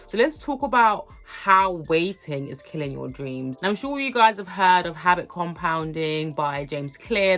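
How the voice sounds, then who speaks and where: A young woman, hoarse from a cold, talking earnestly and encouragingly to her viewers in a motivational vlog. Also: on the low side. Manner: earnest, encouraging, repetitive emphasis. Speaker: lifestyle vlogger. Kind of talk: motivational talk to camera.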